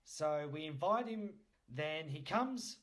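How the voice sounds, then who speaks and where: A man's voice: on the high side, lively intonation, slow, a bit bright. Man in improvised comedy chat, questioning. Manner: questioning, mock-incredulous. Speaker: podcast host. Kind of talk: improvised comic role-play, casual chat.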